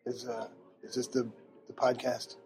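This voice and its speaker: A man voicing a soft-spoken male protester with a hushed, deadpan delivery, recorded in a restaurant. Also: unhurried, over music, natural voice, low-quality recording. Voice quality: hushed, low and quiet. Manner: deadpan and understated.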